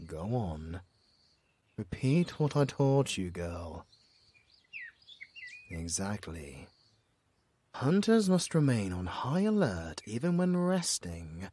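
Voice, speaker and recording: A man playing a stern, weary demon hunter mentor, measured and grave, in a fantasy audio roleplay. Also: low voice, a little mellow, slightly rough, no room sound, heavily acted. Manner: measured, grave, slow-spoken.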